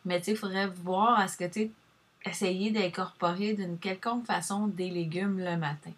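A woman's voice: fairly low pitch, fairly even intonation, moderate pace, husky. A woman with a Québécois French accent chatting casually to viewers, rambling and addressing them directly as she shares advice.

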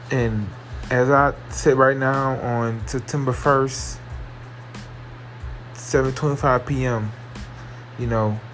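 A man hosting his own podcast, talking earnestly and repetitively about positivity, with a carrying voice that rises into excited emphasis. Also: medium pitch, low-quality recording. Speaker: podcast host. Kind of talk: motivational solo podcast monologue.

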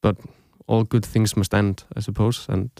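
A young man with an Icelandic accent, a native Icelandic speaker, narrating a scripted podcast as its host in a measured, reflective storytelling way. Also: on the low side, flat delivery, vocal fry, a little mellow, no room sound, studio quality. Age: young adult.

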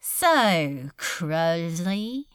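A woman voicing a languid, cruelly mercurial male demon lord in an audiobook, with drawn-out, archaic, mock-courteous speech. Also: on the low side, very animated, fairly bright tone, clean tone, dry recording, clean studio sound, voice pushed down, exaggerated voice. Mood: smug, casual. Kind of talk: audiobook character acting.

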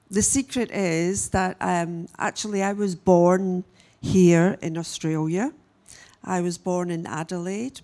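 Slow-talking woman in her sixties. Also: mid-range voice, gravelly, darkish tone. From Scotland. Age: 60s. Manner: halting, unhurried storytelling with frequent pauses. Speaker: guest speaker, missionary. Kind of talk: church message, personal testimony.

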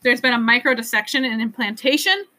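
A woman acts out a flustered male professor from a children's storybook, in a bright voice. He is earnest and deferential, explaining himself in a hesitant, pleading way. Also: a little high, fairly quick.

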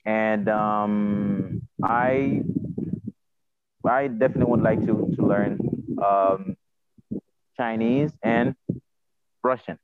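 Over a narrow, phone-like connection with no room sound, a man with a Haitian accent answers interview questions. He is a native Haitian Creole speaker with a slightly low voice. He talks hesitantly, repeating words while he searches for the next one. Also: steady tone, slow, pauses often, clean tone, no room sound. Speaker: podcast guest, self-taught polyglot. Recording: tinny phone-like line.

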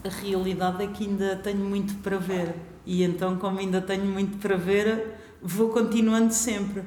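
A woman from Portugal with a European Portuguese accent, a guest talking about her travels in a relaxed, thoughtful interview. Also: medium pitch, moderate pace, slight background noise. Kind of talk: casual interview chat. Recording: echoey room with long reverb.

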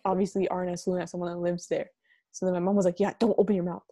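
Young woman, about twenty, casual podcast co-host; mellow tone, dry close mic. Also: fairly low pitch, little pitch movement, no echo. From United States. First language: Punjabi. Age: 20s. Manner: chatty, quick, laughing often. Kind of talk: casual chat.

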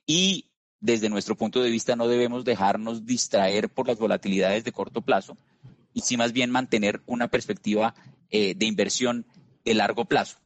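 A man with a Colombian accent and a fairly low voice, walking through market views in a steady, measured way. He fills pauses with frequent "eh"s and restarts phrases. The sound is dry and close. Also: no echo. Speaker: investment strategist guest.

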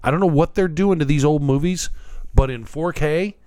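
Podcast co-host dude, rambly and excited, trips over his words, dry sound. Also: fairly high pitch, normal speed, clear, resonant tone, dry recording. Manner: enthusiastic rambling with restarts. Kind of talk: casual chat.

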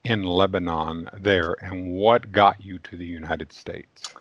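Low-voiced man, dry dull audio. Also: steady tone, dry recording. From Mexico. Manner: deliberate, stumbling restarts, questioning. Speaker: interview host. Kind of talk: casual conversational interview.

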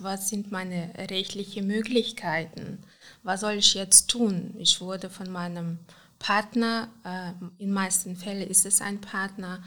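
A woman counselor, speaking German haltingly with many fillers in a casual interview. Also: mid-range voice, bright, forceful tone. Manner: halting, many fillers and restarts, non-native grammar. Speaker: counselor at a women's support center.

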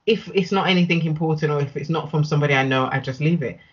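British female interviewee, husky tone, candid and emphatic, slightly muffled lo-fi recording. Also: deep voice, fast talker. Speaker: interview guest, business owner. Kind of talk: casual conversational interview.

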